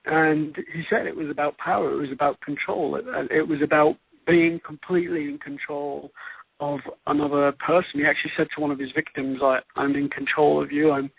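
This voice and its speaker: A man with a husky, creaky voice co-hosting a conversational discussion, halting and repeating phrases for emphasis. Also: fairly high pitch, little pitch movement, no room sound. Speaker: show co-host. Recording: narrow phone-like radio sound.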